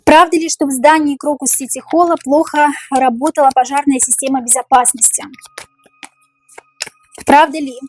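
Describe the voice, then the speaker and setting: A woman doing a tarot reading, repeating each question slowly and musing aloud over the cards, her voice tiring and going hoarse. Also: sits high, steady tone, darkish tone. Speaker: tarot reader.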